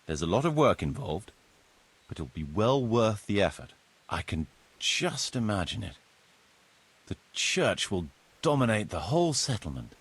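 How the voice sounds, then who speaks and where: Marius, husky formal medieval surveyor. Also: male voice, lowish voice, big pitch swings, a little slow, exaggerated voice. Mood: eager, businesslike. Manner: formal, deferential, wordy, precise. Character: formal, courtly medieval locator Marius, eager planner and surveyor. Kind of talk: video game cutscene acting.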